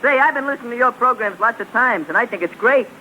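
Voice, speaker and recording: A loud, self-assured wiseguy who mangles fancy words. His voice sits very high and sounds sharp and bright. It comes through a hissy 1940s radio broadcast. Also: lively intonation, quick pace, heavily acted. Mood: cocky. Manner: cocky, tough-guy delivery with malapropisms. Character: brash uneducated male fan who mangles fancy words. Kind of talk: 1940s radio comedy acting. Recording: noisy old radio broadcast recording.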